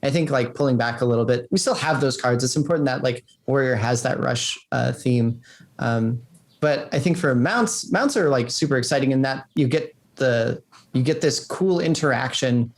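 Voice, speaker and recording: A man with a medium-pitched voice answers as an interviewed game developer. His phrasing is informal and exploratory, circling his point with plenty of "like". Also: moderate pace. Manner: loose, exploratory phrasing with many "like"s.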